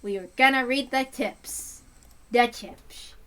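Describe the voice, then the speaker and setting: A woman's voice: on the high side, takes it slowly, sharp tone. A woman reading a visual novel aloud and chatting casually between parts. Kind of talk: casual read-aloud narration.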